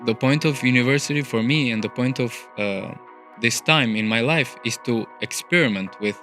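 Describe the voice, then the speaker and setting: A man's voice: mid-range voice, normal speed, a bit bright, soft background music. A young man from Albania, an architecture student, chatting as a podcast guest in a thoughtful way with many fillers and restarts. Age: young adult. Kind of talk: casual interview conversation. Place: studio.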